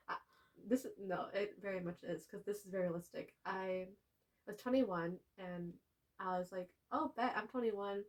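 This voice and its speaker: A young woman co-hosting a podcast, telling stories in animated casual chat. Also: medium pitch, a little slow. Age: young adult. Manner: animated storytelling with frequent laughs. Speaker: podcast co-host.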